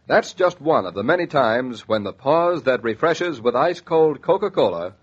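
A man with a husky voice reading a scripted 1940s radio commercial as the announcer. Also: medium pitch, big pitch swings, moderate pace. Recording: dull vintage 1940s radio recording.

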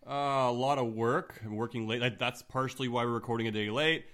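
A man from Canada with a deep voice, in his thirties, co-hosting a podcast in relaxed, casual chat. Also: brisk. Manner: relaxed and chatty, trailing off quietly at phrase ends. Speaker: podcast co-host.

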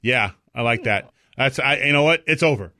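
A man co-hosting a talk show in loose, joking banter. He rambles through his asides and now and then bursts out loud with excitement. His voice sits at a middling pitch and sounds dry and close to the mic. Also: normal speed, no echo. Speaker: co-host.

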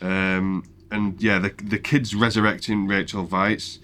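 A man's voice: on the low side, level tone, measured pace, fairly bright tone, slight background noise. A man co-hosting a podcast, recapping a film in casual, sweary, dry chat. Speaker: podcast co-host.

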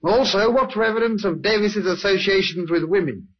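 Husky, eager police sergeant. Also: male voice, high voice, fairly quick. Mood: businesslike. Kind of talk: 1950s radio acting. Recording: dull old radio recording.

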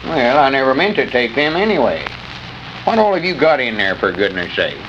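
A man's voice: medium pitch, big pitch swings, normal speed, natural voice. A man playing a grumbling but good-natured old countryman with a folksy rural Southern drawl in a 1930s radio comedy. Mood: flustered, grumbling. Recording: noisy, dull 1930s radio recording.

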